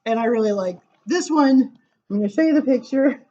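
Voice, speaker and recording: A woman reading poems aloud to children as a storytime librarian, with animated, sing-song emphasis and casual asides. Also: a little high, fairly quick, highs cut off.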